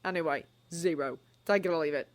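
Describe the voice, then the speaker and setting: A woman voicing a blunt, smug young wizard, talking in a flat, dismissive way. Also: deep voice, fairly quick, natural voice.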